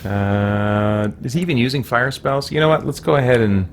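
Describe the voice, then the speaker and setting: A man's voice: mid-range voice, moderate pace. A man giving casual gameplay commentary, chattily thinking aloud as he plays. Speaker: let's play host. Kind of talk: casual gameplay commentary with muttered self-talk. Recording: noisy, game sound and music behind voice. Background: video game sound and music.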